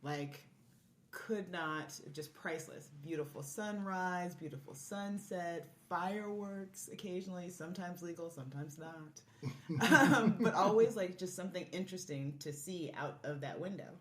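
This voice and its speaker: A woman's voice: fairly low pitch, normal speed, fairly bright tone. A woman speaking as a podcast guest, telling her story in a casual, conversational way. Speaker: podcast guest, marriage and family therapist. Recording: echoey room, faint background noise.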